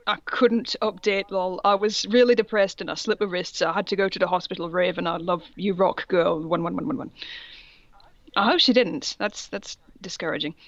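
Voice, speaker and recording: A woman's voice: medium pitch, no echo, muffled recording. A woman co-hosting a podcast, reading fan fiction aloud in a mock-dramatic way and breaking off for amused asides. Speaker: podcast co-host.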